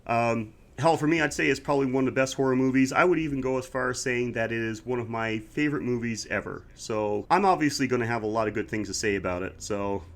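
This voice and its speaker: A man's voice: mid-range voice, fast talker, hardly any pauses. Congested man chatting on a podcast. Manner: relaxed, talkative, reviews with prepared facts. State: congested, slightly scratchy throat. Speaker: podcast co-host. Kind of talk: casual podcast chat.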